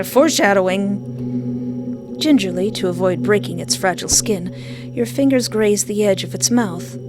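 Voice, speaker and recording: A woman's voice: mid-range voice, measured pace. A woman let's player reading game narration aloud, with playful, jokey casual commentary. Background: game music.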